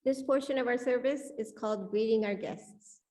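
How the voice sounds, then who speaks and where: A woman's voice: fairly high pitch, normal speed, dark tone. A woman leading a service, giving a scripted welcome to church guests over a microphone. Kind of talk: scripted welcome announcement to a congregation. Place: church, speaking to congregation.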